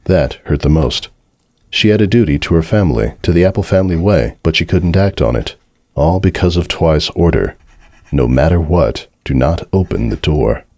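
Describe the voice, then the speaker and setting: A man's voice: extremely low pitch, lively intonation, normal speed, a little mellow, no echo, clean studio sound. A man giving a dramatic, scripted narration of a story as its narrator.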